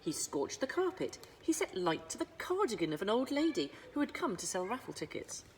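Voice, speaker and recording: A woman narrating a children's storybook as a librarian would, with dramatic pauses and emphasis. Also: mid-range voice, big pitch swings, a little hiss or hum. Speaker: school librarian reading aloud.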